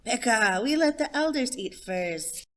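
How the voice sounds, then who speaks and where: Storybook role: patient grandmother, voiced by a woman reader, gently instructing, lifted a little above narration. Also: fairly high pitch, animated, measured pace, pitched above the performer's own voice. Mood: gently firm. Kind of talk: children's storybook character voice.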